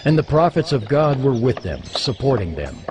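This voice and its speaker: A man's voice: mid-range voice, big pitch swings, normal speed, background noise. A man narrating scripture from a script as part of a dramatized reading. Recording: music behind the voice.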